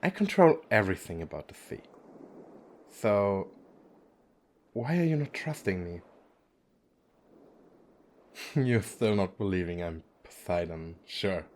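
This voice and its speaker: A playful, effeminate young god of the sea, acted by a man, speaks straight to the listener in an ASMR romance roleplay. His voice is fairly low and takes its time, yet it swings widely in pitch as he teases and coaxes. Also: expressive, measured pace, a little mellow, a little hiss or hum. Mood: reproachful, assured. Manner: teasing, coaxing, addressing the listener directly.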